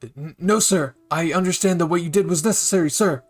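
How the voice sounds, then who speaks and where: A man's voice: on the high side, fairly quick, faint music behind, voice pushed up, close to an everyday voice. Man doing an eager young soldier. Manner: eager and respectful, keeps saying sir. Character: earnest, intense young male soldier.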